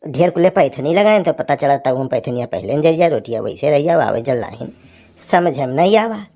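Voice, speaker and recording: A woman's voice: low voice, animated, fairly quick, mellow. A woman voicing a grumbling, teasing village husband in a cartoon comedy, with an Awadhi accent and a folksy, scolding manner. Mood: rueful, complaining.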